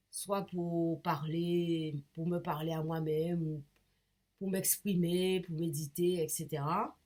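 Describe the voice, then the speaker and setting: A woman's voice: low voice, a little mellow. A woman with a cold talks to her viewers in a rambling, casual way. She hesitates often, restarts her sentences and searches for words. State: has a cold. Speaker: painter and vlogger. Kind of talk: rambling casual talk to camera.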